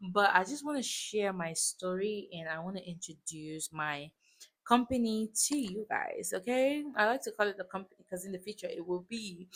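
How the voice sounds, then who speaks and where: A woman in her twenties from West Africa, a native French speaker with a West African accent, chatting casually as a vlogger and telling a personal story with emphatic asides. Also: mid-range voice. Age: 20s. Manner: chatty, informal, emphatic asides and check-ins. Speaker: YouTube vlogger.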